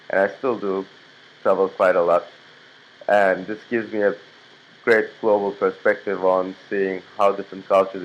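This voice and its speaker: A man from Turkey, in his twenties, giving a class video introduction in a plain, steady way with frequent fillers and restarts. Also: low voice, poor audio. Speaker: student.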